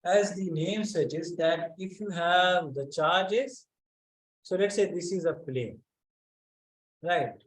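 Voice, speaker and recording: A man teaching a physics class online, speaking haltingly and calling on students by name to check that they follow. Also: sits high, low-quality recording.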